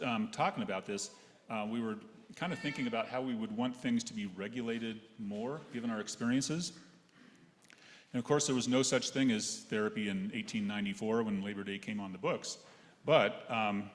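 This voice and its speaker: Middle-aged male, lower register, wry hesitant church speaker, long room reverb, some background noise. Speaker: psychologist giving a personal reflection at church. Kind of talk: prepared personal reflection to a congregation. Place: large echoey indoor church space, seated. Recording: echoey hall with noticeable background noise.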